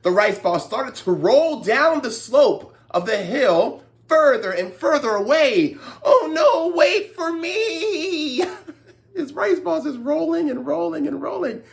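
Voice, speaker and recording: A woman telling a story to young children, expressive, with playful asides and exclamations. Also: high-pitched, a little slow, creaky.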